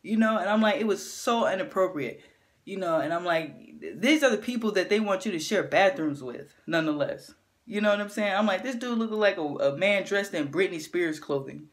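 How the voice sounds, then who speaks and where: A woman with a deep voice gives an animated opinion rant to camera, often checking in with her listener. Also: normal speed. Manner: animated, keeps asking "you know what I'm saying". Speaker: vlogger.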